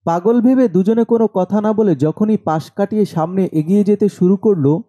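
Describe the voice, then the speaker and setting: A man's voice: high-pitched, moderate pace, mellow. A man narrating a scripted horror story as its storyteller.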